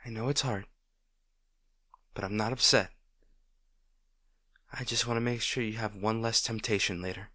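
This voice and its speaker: A man playing a gentle, protective boyfriend in an intimate roleplay audio, speaking in a tender, reassuring way. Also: fairly low pitch, fairly even intonation, moderate pace, pauses often, no room sound, studio quality. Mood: tender, soothing. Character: gentle, protective boyfriend comforting a distraught partner.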